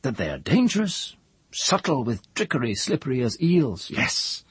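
A man's voice: mid-range voice, huge pitch swings, moderate pace, slightly rough, no echo, pitched above the performer's own voice, full character voice. A man voicing a heavyset woman in a story, smug, blunt and dismissive. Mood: scornful, suspicious.

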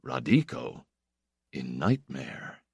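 A man's voice: rumbling low, big pitch swings, slow, vocal fry, a little mellow, husky, no echo, studio quality, pitched below the performer's own voice, full character voice. A man reading the part of a grave, diffident, watchful older brother in a story, with an ironic edge. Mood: grave, hushed.

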